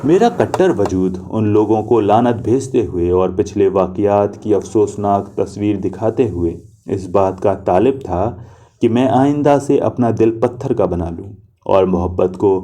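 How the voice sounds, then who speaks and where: Brief: young adult male storyteller, low and very dark-toned, slow reflective delivery. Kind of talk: literary story reading.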